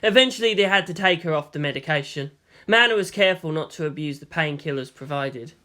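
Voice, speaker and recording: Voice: mid-range voice, fast, sharp tone. A narrator giving a scripted audiobook reading of a fan fiction story.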